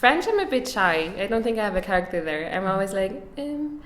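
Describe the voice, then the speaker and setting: A woman's voice: mid-range voice, very animated, fast talker, fairly bright tone, a little hiss or hum. A woman talking as a guest on a podcast, chatty and full of fillers and laughs. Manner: chatty, many fillers and laughs, puts on voices in quotes. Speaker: podcast guest, German teacher. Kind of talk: casual interview chat. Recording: echoey room.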